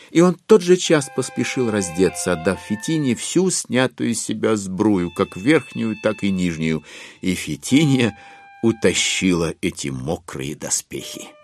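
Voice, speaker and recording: A man narrating a literary audio drama in an expressive scripted style. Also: lowish voice.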